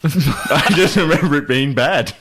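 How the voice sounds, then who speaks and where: A man's voice: on the high side, unhurried, a bit bright. A man co-hosting a podcast, dropping dry one-liners. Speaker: podcast co-host. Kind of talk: casual chat.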